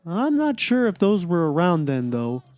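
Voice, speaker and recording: A man playing a gloomy, sardonic cartoon blogger in a dramatic reading, deadpan and aggrieved. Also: high-pitched, moderate pace, no room sound, soft background music. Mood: aggrieved, wry. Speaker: performer in a podcast dramatic reading. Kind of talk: dramatic reading of a comic blog.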